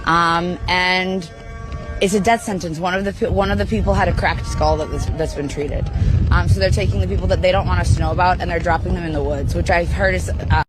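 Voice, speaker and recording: A woman hesitantly recounting a report, with frequent pauses and fillers. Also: fairly low pitch, fairly even intonation, poor audio. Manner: hesitant, halting. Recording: clip with loud music over it.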